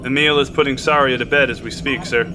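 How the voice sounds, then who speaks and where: A man's voice: mid-range voice, fairly even intonation, quick pace, noisy background, lightly acted. A man playing a worn-out, dutiful sailor. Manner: respectful, dutiful, frequent "sir". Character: loyal, exhausted male submarine sailor. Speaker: sailor, coxswain. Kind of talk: audio drama acting.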